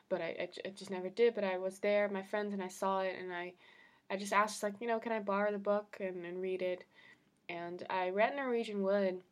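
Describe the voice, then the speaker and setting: Chatty young woman with a cold. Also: medium pitch. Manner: rambling, chatty, laughs often, many fillers and restarts. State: slightly congested from a cold, sniffling. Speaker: podcast host. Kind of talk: casual solo podcast chat. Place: her room at home.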